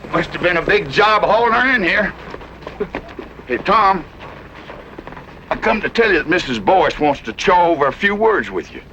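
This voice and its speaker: An actor playing a folksy, nosy, chattering rancher with an American Western drawl and a wry, rambling manner in an old-time radio western. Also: male voice, high voice, big pitch swings, moderate pace, long pauses, pushed and bright, full character voice. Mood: neighborly. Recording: muffled, noisy old-time radio recording.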